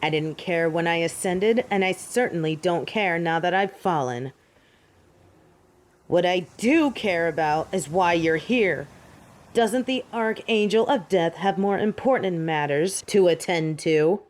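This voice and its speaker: An actress playing a haughty, possessive fallen angel in an audio drama, with an imperious, cool and disdainful delivery. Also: female voice, lowish voice, a little slow, natural voice. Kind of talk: dramatic audio roleplay acting.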